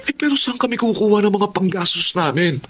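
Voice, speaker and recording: A timid young student brother in a radio drama, voiced by an actor. The speech is hesitant and pleading, at a middling pitch, with faint noise around it. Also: expressive, brisk, close to an everyday voice. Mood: anxious, pleading. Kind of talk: Tagalog radio drama acting.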